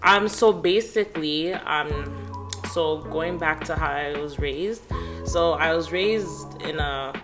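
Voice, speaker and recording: Chatty 20-something woman guest on a podcast, lively and loose, music going under her. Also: deep voice, slow. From Canada. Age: 20s. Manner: chatty and animated, lots of "like" and laughs. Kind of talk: casual interview chat.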